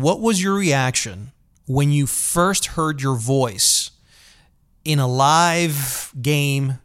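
A man hosts a casual interview, curiously stacking one question after another in a conversational way. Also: mid-range voice. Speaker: podcast host, interviewer.

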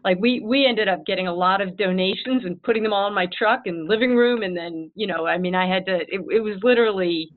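A woman who heads a school, answering interview questions in an earnest, animated way and acting out her own past thoughts. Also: medium pitch, a little fast, few pauses. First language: English. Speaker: head of school. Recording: tinny phone-like line.

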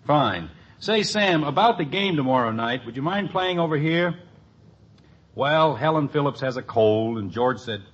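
An actor playing a wisecracking, easily exasperated father in a 1950s radio family sitcom, with a wry, animated delivery. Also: medium pitch, moderate pace, slightly rough. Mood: chatty. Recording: noisy, muffled old radio broadcast.

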